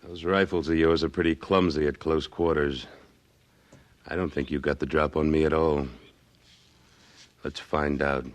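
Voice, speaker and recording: An actor playing a tough, independent cattleman in a radio western, laconic and deadpan. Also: a bass voice, measured pace, pauses often. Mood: cool defiance. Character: tough, dry, independent cattleman of the Old West. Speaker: lead actor and narrator. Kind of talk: 1950s radio western acting. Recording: vintage radio drama recording.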